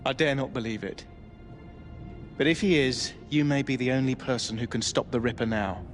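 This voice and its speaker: An English actor playing a grave, weary Victorian police inspector in a video game, speaking formally and deliberately. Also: male voice, medium pitch, natural voice. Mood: grave, troubled. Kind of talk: video game drama acting. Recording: loud game music and street sounds behind.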